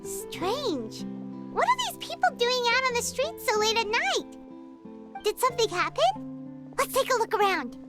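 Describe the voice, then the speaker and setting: A woman's voice: very high voice, very animated, a little slow, over loud music, full character voice. A woman voicing a small, childlike female creature in a video game, curious and caring. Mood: curious, concerned.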